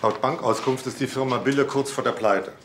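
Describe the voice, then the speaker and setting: An actor playing a matter-of-fact police detective in a crime drama, asking plain, factual questions. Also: male voice, mid-range voice, fairly quick, fairly bright tone, slight background noise, close to an everyday voice.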